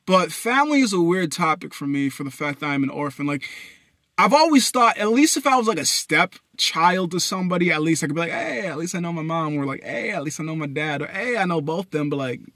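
Young guy venting into his phone in his car, bright forceful voice, dry close sound, swears a lot, loud then mumbly. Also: animated, brisk, no echo. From Haiti. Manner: blunt, profane, swinging between loud outbursts and low mutters. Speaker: vlogger. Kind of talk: rambling personal monologue. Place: inside a parked car at night. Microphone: phone camera at arm's length.

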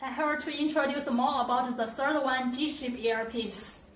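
A woman presenting a scripted product demonstration, with careful, halting, non-native phrasing. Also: high voice, steady tone. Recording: roomy echo, distant mic, faint noise.

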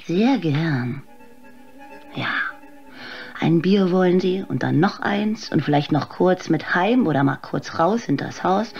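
A woman's voice: lowish voice, vocal fry, a little mellow, highs cut off. An actress playing a bitter, exhausted mother and tavern waitress in a radio play, weary and sarcastic. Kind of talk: radio play acting, dramatic monologue.

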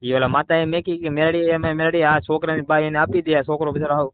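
Fast-talking man on a noisy phone call. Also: on the high side, level tone. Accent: Kathiawadi Gujarati. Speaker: caller seeking advice. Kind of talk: casual phone conversation recounting personal troubles. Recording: noisy phone line with clicks.

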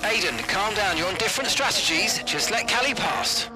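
A brisk race engineer in a video game, issuing terse instructions. His voice is high, with very loud music over it. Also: animated, poor audio. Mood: firm, instructing. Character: brisk male race engineer on team radio. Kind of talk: game cutscene acting.

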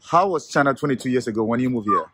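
Fast-talking upbeat male host. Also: medium pitch, expressive, fairly bright tone. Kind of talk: street interview and intro. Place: city street outdoors.

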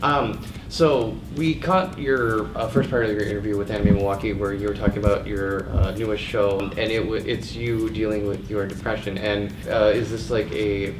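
Young guy interviewing, kinda low voice, bit far from the mic. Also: fairly even intonation, moderate pace, few pauses, background noise. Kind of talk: casual on-camera interview. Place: convention press table indoors. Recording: echoey room, off-mic, music behind.

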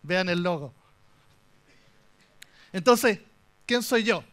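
A young Chilean man with a fairly high voice gives a conference talk from the stage. He jokes his way through the story, lively and playful, with a Chilean accent throughout. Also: drawn-out words. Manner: animated, joking storyteller. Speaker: conference speaker. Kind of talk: humorous tech talk.

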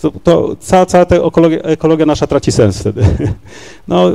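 A man speaks on a discussion panel in a gravelly, dark voice, a little higher than most men. He rambles and hesitates, repeating words and tagging questions onto his sentences. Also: animated, fairly quick. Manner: rambling, hesitant, many fillers and tag questions. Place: large university lecture hall.

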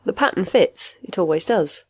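A robot therapist voiced by a woman reader for an audio story, earnest and confident. It lectures in formal clinical language, soothing yet pedantic, with a lively rise and fall. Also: on the low side, normal speed, husky.

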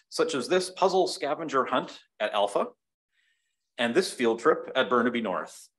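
A man's voice: mid-range voice, a bit bright. A man reading scripted school district news aloud as a trustee at a meeting.

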